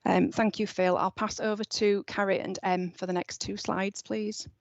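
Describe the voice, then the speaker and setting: A woman speaking earnestly and haltingly in an online council board meeting, handing over to the next presenters. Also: mid-range voice, little pitch movement, vocal fry, dry recording. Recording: dull lo-fi video call audio.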